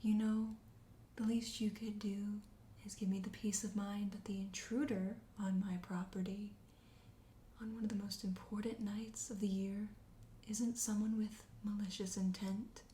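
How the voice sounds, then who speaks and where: A woman acts out a suave vampire host in an ASMR roleplay, murmuring in a soft, breathy whisper with a dark, mellow tone. The delivery is slow, coaxing and flirtatious, set in a roomy, echoing space. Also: medium pitch, little pitch movement, natural voice. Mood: teasing, menacing. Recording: roomy echo, faint background noise.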